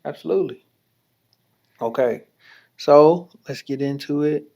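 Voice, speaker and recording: A man's voice: fairly high pitch, unhurried, gravelly, dark tone. A man hosting a podcast, leading an interview in a chatty, encouraging way, often adding "right?" and "you know". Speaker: podcast host.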